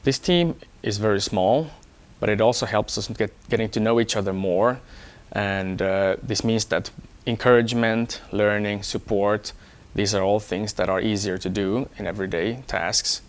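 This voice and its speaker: A man from Hong Kong, an engineer, talking about himself in a measured, explanatory way for an employee profile. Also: fairly low pitch. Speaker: electronics engineer. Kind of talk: first-person employee profile talk.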